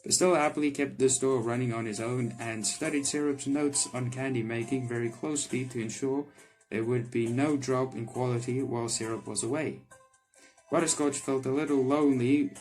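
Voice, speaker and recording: A man's voice: mid-range voice, darkish tone, low-quality recording. Man reading a game aloud over music. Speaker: gaming video maker. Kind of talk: visual novel read-aloud and casual commentary.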